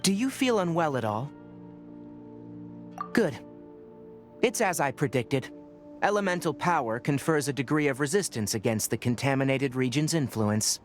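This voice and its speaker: An actor playing a young male forest ranger and scholar in a video game, measured and lecturing. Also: mid-range voice, lively intonation, soft background music, close to an everyday voice. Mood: explaining. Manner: measured, lecturing, matter-of-fact.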